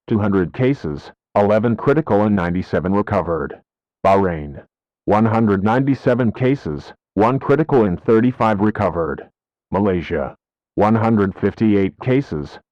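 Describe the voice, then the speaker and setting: A synthetic-sounding man reading a scripted emergency bulletin in a formal, flat, list-like manner. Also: lowish voice, no room sound. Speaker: emergency alert announcer. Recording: dull lo-fi audio.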